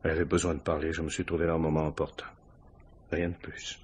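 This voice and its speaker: An actor playing an anxious middle-aged married man, husky and halting, confessing to a police inspector in a 1950s-style French radio crime drama. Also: rumbling low, level tone, darkish tone, a little hiss or hum. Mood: defensive, uneasy. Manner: hesitant, self-justifying, halting.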